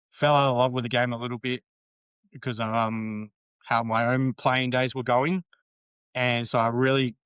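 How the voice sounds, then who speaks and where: A man's voice: mid-range voice, fairly bright tone, smooth, dry recording, lo-fi sound. A man in his late thirties with an Australian accent, chatting casually as a podcast guest, rambling with frequent ums and restarts. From Western Australia. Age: 30s.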